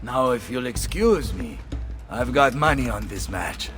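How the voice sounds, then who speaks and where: An actor playing a boisterous, hot-headed Italian mercenary commander in a video game, with a gravelly voice, an Italian accent and loud, blustering delivery. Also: male voice, mid-range voice, animated, measured pace, over music, close to an everyday voice. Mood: proud. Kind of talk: video game cutscene acting.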